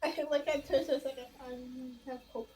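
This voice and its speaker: A woman's voice: high-pitched, little pitch movement, moderate pace, dark tone, a little hiss or hum. A woman streamer reacting casually to a game as she plays along with a friend. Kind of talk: casual chat over gameplay.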